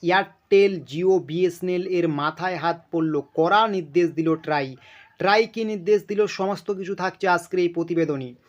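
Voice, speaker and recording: A man's voice: high voice, normal speed. A man presents an informal news explainer, speaking emphatically, repeating himself and checking in with his listeners. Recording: dull lo-fi sound.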